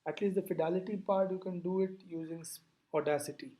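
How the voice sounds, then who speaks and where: A lecturer explaining physics over slides in a deliberate, didactic way, posing questions and then answering them. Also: medium pitch, steady tone, dark tone.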